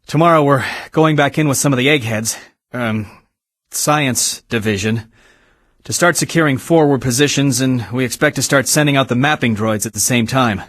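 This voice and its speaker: An actor playing a troubled young soldier giving a halting mission debrief in a video game audio log. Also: male voice, mid-range voice, a bit bright, no room sound, clean studio sound, natural voice. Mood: troubled, hesitant. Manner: halting, hesitant, searching for words.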